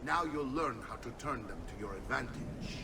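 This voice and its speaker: An actor playing a gruff, stern, proud older Italian uncle and mentor in a video game, with an Italian accent and an emphatic, theatrical way of talking. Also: male voice, medium pitch, expressive, normal speed, distant mic, heavy background noise, natural voice. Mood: instructive, proud. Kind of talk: dramatic video game acting. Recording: music behind the voice.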